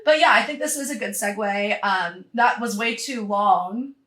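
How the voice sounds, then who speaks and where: A woman's voice: mid-range voice, normal speed, pushed and bright. An American woman in her twenties chatting casually as a podcast host, blunt and emphatic, swearing freely. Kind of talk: casual chat. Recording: roomy, echoing sound.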